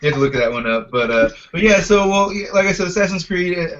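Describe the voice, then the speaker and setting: A man's voice: sits high, lively intonation, fast talker, a bit bright. A man hosting a podcast in a casual, conversational way. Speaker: podcast host. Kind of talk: casual chat. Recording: dull, lo-fi podcast audio.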